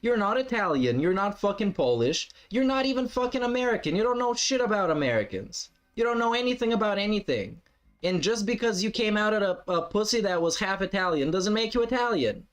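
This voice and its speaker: High, mocking, profane man. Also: expressive, fairly quick, smooth. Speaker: commentary streamer. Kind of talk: reaction commentary.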